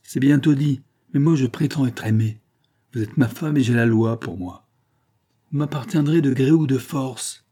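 A man's voice: on the low side, normal speed, warm tone, lightly acted. A man reading an audiobook, voicing a drunken, bitter glassmaker husband who bullies and wheedles, emphatic and sardonic. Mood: menacing.

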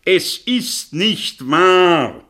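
Radio play: embittered professor, sardonic lecturer, unhurried, hugely varied intonation from mutter to declamation. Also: male voice, sits high, sing-song, sharp tone, exaggerated voice. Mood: agitated lecturing. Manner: theatrical, rhetorical, sardonic, abrupt swings from muttering to declaiming. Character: embittered, cynical, lecturing male professor, intense and self-absorbed. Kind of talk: classic German radio play acting.

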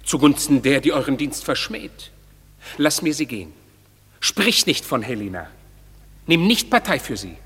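Hot-headed lover guy, rough strained voice, swinging pitch, echoey old radio play. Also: sing-song, moderate pace, long pauses, heavily acted. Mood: threatening. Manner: forceful, declamatory. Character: hot-headed, jealous young male suitor. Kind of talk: classic German radio play acting. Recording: reverberant hall.

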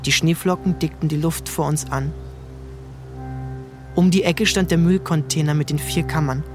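A fairly high-voiced actor as a young man recalls his childhood as the youngest brother. He narrates a scripted drama reflectively, with faint music underneath. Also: fairly even intonation, normal speed, lightly acted.

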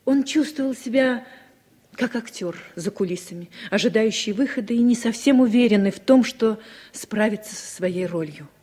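A woman's voice: a little high, a little mellow, slightly rough. An actress playing a watchful woman who tells her story in dramatic scripted style in a Soviet radio play. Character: watchful woman narrating her memories, questioning her partner. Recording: vintage radio play with music.

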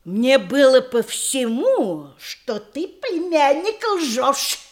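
High-voiced, unhurried merry old aunt. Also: female voice, sing-song, heavily acted. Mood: gleeful. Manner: folksy, teasing, old-fashioned affectionate speech. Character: sly, merry old provincial aunt and grandmother. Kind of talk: theatrical verse comedy radio play acting.

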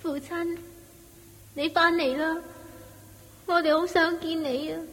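A yearning child pleads sadly in a radio play. The very high voice calls slowly and plaintively, washed in long, ghostly reverb. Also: female voice, fairly even intonation, fairly bright tone, heavily acted. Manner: drawn-out, plaintive calling. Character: sad, yearning child calling for father. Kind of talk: Cantonese radio drama acting.

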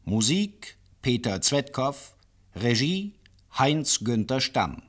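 Male radio announcer reading credits, slow and formal, medium pitch. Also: big pitch swings, background noise. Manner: formal, deliberate.

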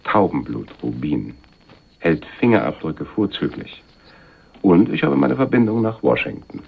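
A shrewd, moneyed man of power with an extremely low voice. He speaks with suave, patronizing calm in a crime radio play, and the recording is slightly muffled. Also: measured pace, creaky, slightly rough, exaggerated voice. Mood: smug, lecturing. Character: shrewd, wealthy, condescending male power broker in a crime play.

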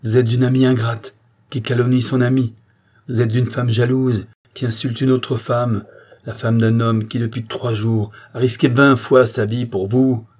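A man's voice: on the low side, expressive, moderate pace, warm tone, breathy edge, pitched above the performer's own voice. A man playing a proud, honourable count in a historical novel, formal, emphatic and insistent. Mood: indignant. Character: indignant, honourable count, proud and courteous nobleman. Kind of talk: dramatic audiobook character acting.

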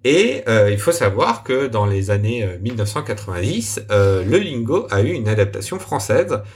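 A young adult man with a somewhat low voice talks through how he built his project. He hesitates a lot, filling gaps with small sounds and restarting his phrases. The tone is relaxed, like a casual interview. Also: moderate pace. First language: French. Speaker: software developer guest.

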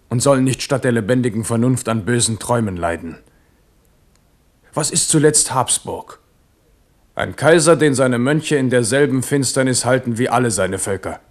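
A man's voice: mid-range voice, natural voice. Resolute German radio-drama king, played by a man. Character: French king, ardent, weary, resolute ruler and lover.

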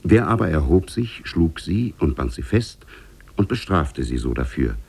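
A man narrating an Arabian Nights tale in a 1950s radio play, measured and deliberate. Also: extremely low pitch, very animated, creaky, a little mellow, slightly rough, full character voice.

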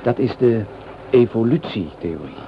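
An actor playing an earnest, idealistic young French soldier in a Dutch radio play, speaking in a thoughtful, measured way. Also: male voice, medium pitch, lively intonation, natural voice. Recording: muffled, noisy old radio play recording.

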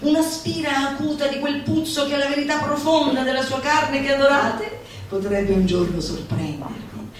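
A woman stage performer giving a theatrical recitation of a satirical manifesto, declamatory and exhorting with mock-solemn emphasis. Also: fairly high pitch. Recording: very echoey room, distant mic, faint noise.

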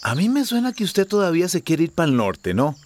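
Cocky, swaggering crooked cop. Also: male voice, on the high side, big pitch swings, fairly quick, a little mellow, a little hiss or hum. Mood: persuasive. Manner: swaggering, smooth-talking. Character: cocky corrupt border-town policeman and migrant smuggler. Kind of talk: radio drama acting.

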